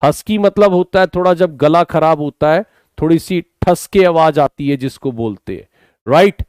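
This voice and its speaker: A man with an Indian accent, slightly hoarse from a sore throat, patiently explaining English vocabulary in an online class, mixing Hindi and English and urging students to answer. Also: fairly high pitch, moderate pace, darkish tone, dry recording, clean studio sound. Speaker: English teacher.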